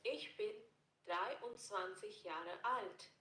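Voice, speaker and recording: A woman teaching German phrases in a scripted lesson narration, repeating each phrase slowly and carefully. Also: medium pitch, bright, forceful tone. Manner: slow, careful repetition of phrases. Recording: roomy echo.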